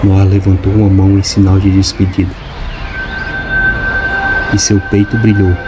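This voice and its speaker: Guy narrating a story, lowish steady voice, music playing behind. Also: normal speed. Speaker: audiobook narrator. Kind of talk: scripted narration.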